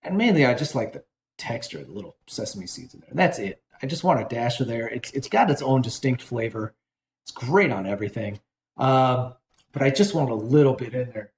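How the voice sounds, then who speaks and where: A man's voice: mid-range voice, animated, brisk, slight background noise. Chatty man in an echoey kitchen. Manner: chatty, rambling, self-correcting. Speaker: cooking show host. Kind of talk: casual cooking demonstration.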